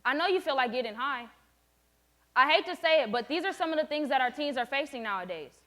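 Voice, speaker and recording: Firm, high-voiced teenage girl presenter. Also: lively intonation, fast, sharp tone. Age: late teens. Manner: firm, explanatory, lecturing tone. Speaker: student presenter playing a group facilitator. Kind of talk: student presentation with a scripted role-play.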